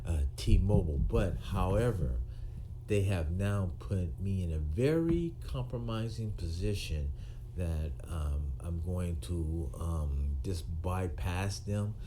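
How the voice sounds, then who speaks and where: Man, 60s, retired cop vlogging; unhurried, halting monologue with a faint hiss behind it. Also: lowish voice, mellow. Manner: halting, with frequent ums and restarts. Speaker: retired police officer turned video maker. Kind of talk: unscripted monologue to camera.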